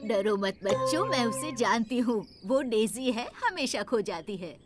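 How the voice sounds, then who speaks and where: A woman voicing a kind, gentle grandmother rabbit in a dubbed cartoon, soothing and reassuring with the children. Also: a little high, lively intonation, measured pace. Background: children's music.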